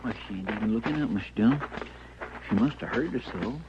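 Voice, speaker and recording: An actor playing a talkative, eager deputy sidekick with an American accent and a rustic, deferential manner in a radio western. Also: male voice, lowish voice, quick pace, close to an everyday voice. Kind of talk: old-time radio western acting. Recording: muffled, noisy old radio recording.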